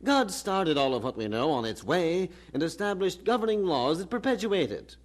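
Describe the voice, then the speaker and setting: Condescending academic, higher-pitched, very animated delivery, didactic radio drama style. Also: male voice. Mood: assured, emphatic. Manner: didactic, pompous, measured argument. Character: learned, condescending male university professor arguing patiently.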